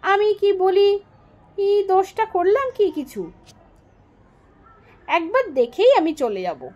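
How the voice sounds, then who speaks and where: A woman's voice: very high voice, lively intonation, slow, a little mellow, lo-fi sound, pitched above the performer's own voice, exaggerated voice. A woman voicing a simple, polite young village man in a storybook, earnest and guileless. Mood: pleading.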